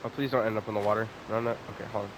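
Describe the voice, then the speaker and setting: A man's voice: on the low side, steady tone, very fast, very noisy. A man giving casual, exasperated gameplay commentary as a streamer, muttering and swearing. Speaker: game streamer. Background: faint game music.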